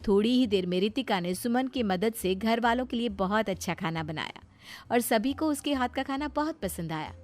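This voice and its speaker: A woman's voice: on the high side, lively intonation, moderate pace, a little mellow. A woman narrating a scripted story as a storyteller.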